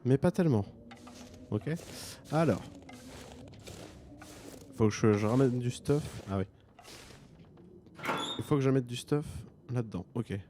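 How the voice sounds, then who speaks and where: A man's voice: medium pitch, unhurried, pauses often, noisy background. A man with a French accent commenting a game he plays, joking and thinking aloud. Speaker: gaming streamer. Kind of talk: let's play commentary.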